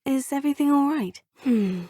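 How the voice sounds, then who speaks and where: An actress voicing a young, curious, polite witch student in a game, speaking in a husky, often half-whispered voice in formal phrases. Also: sits high, lively intonation, normal speed, mellow, dry recording, studio quality. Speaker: player character, a student. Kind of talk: game voice lines.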